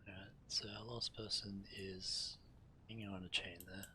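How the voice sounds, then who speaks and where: A man streaming a game, reading quest text aloud in a measured way, now and then stumbling and correcting himself. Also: low voice, flat delivery, bright, forceful tone, a little hiss or hum. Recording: game music behind the voice. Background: video game music.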